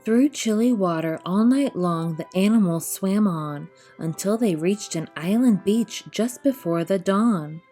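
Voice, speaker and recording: Mellow woman reading a children's storybook. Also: mid-range voice, animated, faint music behind. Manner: measured, rhythmic verse delivery. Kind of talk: expressive children's picture book narration.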